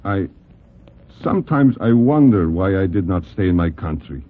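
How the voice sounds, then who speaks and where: Foreign exiled king boxer, lowish husky voice, innocent, halting broken english, tinny old radio. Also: animated. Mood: troubled, halting. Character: naive, earnest exiled foreign king turned heavyweight boxer. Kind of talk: 1940s radio acting. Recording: tinny, band-limited old radio recording.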